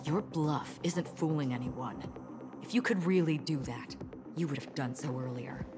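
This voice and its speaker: A woman's voice: low voice, moderate pace. A woman voicing a stern, unflinching woman in a video game. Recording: game music underneath.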